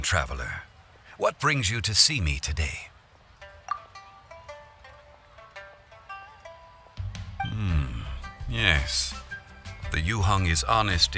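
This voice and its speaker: Deep, composed, scholarly consultant. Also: male voice, pushed and bright, exaggerated voice. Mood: courteous, calm. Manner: measured, formal, courteous. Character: composed, scholarly male consultant, wise former deity. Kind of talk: anime video game acting.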